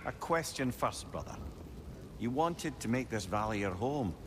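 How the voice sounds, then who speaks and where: Male actor as old male sage, middle pitch, animated intonation, wry, knowing, reflective, video game, background noise. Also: unhurried, close to an everyday voice. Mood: curious, wry. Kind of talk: video game drama acting. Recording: noticeable background ambience noise.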